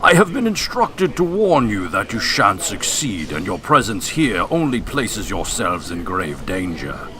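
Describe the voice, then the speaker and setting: A man's voice: on the low side, big pitch swings, a little slow, few pauses, crowd noise. A man playing a threatening stranger who gives portentous, formal warnings in a radio drama. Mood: ominous. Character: ominous, threatening male stranger delivering cryptic warnings.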